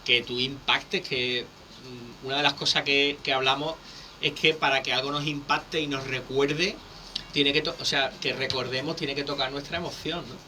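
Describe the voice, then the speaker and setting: A man, a public speaking coach explaining things in a lively, teacherly way as a guest on a radio interview. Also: mid-range voice, normal speed, a little hiss or hum, low-quality recording.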